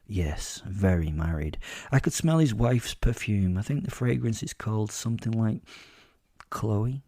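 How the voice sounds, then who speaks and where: Male narrator, wry werewolf storyteller for audio drama. Mellow, lowish voice, measured and pause-heavy, dry recording. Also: animated, no room sound. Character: werewolf telling his life story, wry and boastful. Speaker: narrator and host of a fiction podcast.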